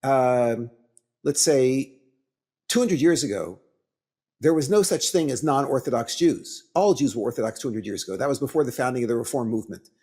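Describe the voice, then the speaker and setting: Male rabbi, husky rough-edged voice, mid pitch, religious lecture, halting deliberate phrases, emphatic pauses. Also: expressive, warm tone.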